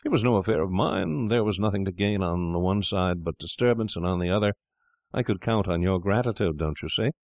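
A man voicing a boastful young male doctor, drunk and swaggering, with a loud bragging manner. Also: low voice, animated, measured pace, vocal fry, no room sound, studio quality, heavily acted.

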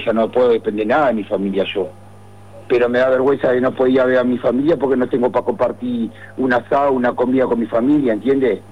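A Uruguayan man in his thirties speaks in a street interview. He pleads and keeps repeating his point. His voice comes through a dull, muffled radio feed. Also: mid-range voice. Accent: Uruguayan Spanish. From Uruguay, Cerro Largo. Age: 30s. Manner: pleading, insistent, repeats himself.

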